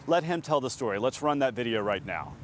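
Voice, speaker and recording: A man's voice: mid-range voice, very animated, brisk. A man with an American accent gives a live television news report outdoors as a field reporter. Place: outdoors on a street outside a school. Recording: outdoor live shot, faint traffic noise.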